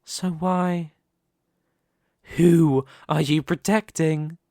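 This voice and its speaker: A man playing a smug, possessive demon king in an ASMR roleplay, speaking slowly and deliberately with a teasing, condescending manner. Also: a little high, steady tone, warm tone, no room sound, studio quality. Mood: mocking pity. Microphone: close studio microphone, dry.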